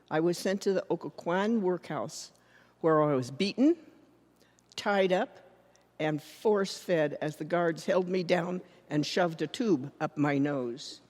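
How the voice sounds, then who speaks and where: A woman performs as a proud female suffragist making a public stage speech. She is declamatory and deliberate, with a defiant edge. Long reverb from the hall surrounds her voice. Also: on the low side, a little mellow. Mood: grave, indignant. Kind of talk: readers theater monologue, public speech.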